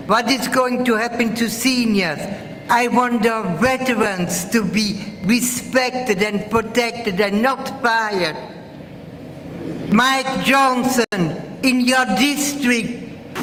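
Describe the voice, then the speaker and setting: A woman's voice: fairly high pitch, a bit bright. A woman from Belgium with a Belgian accent giving emphatic, indignant public testimony as a town hall attendee. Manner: indignant, emphatic, rhetorical questions. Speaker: audience member at town hall. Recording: echoey noisy hall PA recording.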